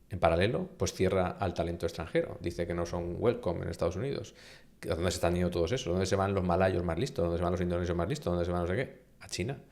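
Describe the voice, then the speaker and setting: Man, middle-aged, from Spain, Castilian accent, very low pitch, gravelly, emphatic interview guest. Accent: Castilian Spanish. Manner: emphatic, animated with rhetorical questions. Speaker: university vice-rector, guest. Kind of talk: conversational interview.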